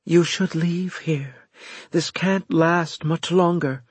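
A man voicing a solemn, resigned alien woman in an audiobook, speaking with grave, gentle, measured delivery. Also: a little high, steady tone, slightly rough, dry recording, voice pushed up. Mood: grave, urgent. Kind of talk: audiobook character dialogue.

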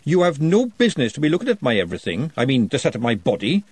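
A man voicing a sharp-tongued, defensive young woman. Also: medium pitch, huge pitch swings, fast talker, husky, dry recording, voice pushed up. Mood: snappy. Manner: snappy, defensive.

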